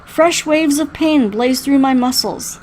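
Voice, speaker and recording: A woman reading a first-person story aloud in an expressive, dramatic way. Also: high-pitched, a little slow, slight background noise. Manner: expressive, acts out the dialogue. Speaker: reader playing through a visual novel.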